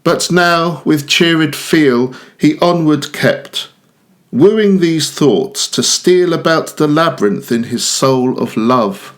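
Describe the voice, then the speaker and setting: A man giving a measured, expressive reading of Romantic narrative verse. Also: on the high side. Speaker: poetry reader.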